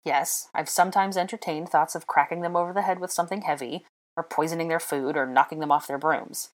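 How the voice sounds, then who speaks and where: A woman's voice: sits low, steady tone, brisk, close to an everyday voice. Scornful teenage boy played by a woman, irritable and scoffing, for audio fiction. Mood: hard, reluctant. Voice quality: rough. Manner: scoffing, curt.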